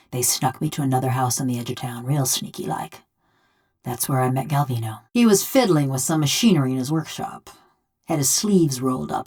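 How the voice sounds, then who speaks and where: A woman's voice: very deep voice, darkish tone. A woman voicing a game character, a vengeful, wry bronze construct with a village woman's soul, speaking in a folksy, rustic, sardonic drawl. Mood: somber, reflective. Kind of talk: video game character dialogue.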